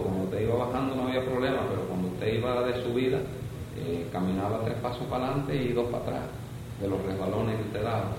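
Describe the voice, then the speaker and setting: Older Cuban man, echoey distant recording. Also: fairly low pitch. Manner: slow rambling storytelling with folksy asides. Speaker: interviewee recalling the revolution. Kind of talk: oral history interview. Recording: echoey room from far off, noisy.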